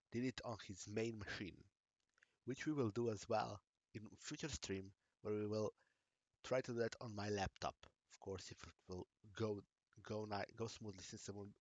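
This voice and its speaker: Low-voiced Slovenian man, halting delivery. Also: no room sound. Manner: hesitant, halting, self-correcting. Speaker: tech streamer. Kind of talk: live screen-share tutorial.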